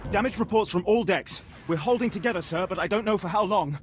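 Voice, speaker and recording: A man's voice: sits high, quick pace, noisy background, lightly acted. A man playing a tense young starship bridge officer in a video game, giving clipped military reports. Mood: tense, alert. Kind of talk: scripted game voice acting.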